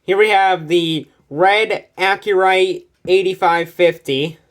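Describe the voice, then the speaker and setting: A woman's voice: fairly low pitch, fairly even intonation, takes it slowly. A woman giving a casual, sweary show-and-tell as host of a collection video, blunt and easily irritated.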